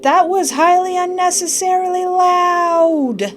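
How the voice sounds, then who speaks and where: A woman's voice: very high voice, takes it slowly, faint music behind. A woman reading a visual novel aloud dramatically, breaking off with playful, joking reactions. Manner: expressive, joking asides in exclamations. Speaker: video game player reading the story aloud.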